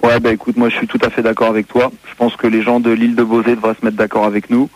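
A man's voice: medium pitch, completely flat delivery, quick pace, low-quality recording. A man calling in to a comic radio show, rambling on heatedly. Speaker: caller. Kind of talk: prank call-in banter.

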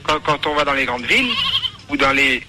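A man's voice: medium pitch, a little fast, very noisy. Stammering young rural French man. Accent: rural western French. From countryside near Nantes, France. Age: 20s. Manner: hesitant and stammering, repeats words. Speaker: radio caller. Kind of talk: phone-in chat on a radio show.

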